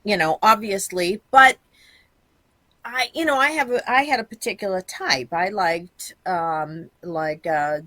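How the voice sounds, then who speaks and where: A woman's voice: medium pitch, unhurried, fairly bright tone, no room sound. A woman, chatting bluntly and rambling as a guest in an interview. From Massachusetts, USA. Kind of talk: candid casual interview chat.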